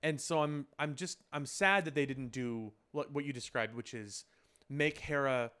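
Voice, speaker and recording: A man with a mid-pitched voice talks as a podcast host in casual discussion. He works through his points analytically, stopping and restarting, and swears offhandedly. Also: normal speed, pushed and bright, clean tone. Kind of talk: casual pop culture discussion.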